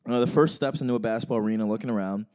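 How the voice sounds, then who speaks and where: Mellow-voiced man, self-deprecating chatter. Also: on the low side, racing. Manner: self-deprecating, rambling with restarts. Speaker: podcast co-host. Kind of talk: casual sports chat.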